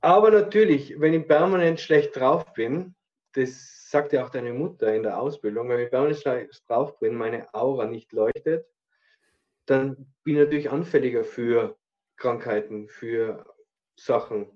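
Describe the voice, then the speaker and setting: A man co-hosting a spiritual podcast, speaking in measured, thoughtful interjections. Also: medium pitch, dull audio. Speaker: podcast co-host. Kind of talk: casual spiritual chat.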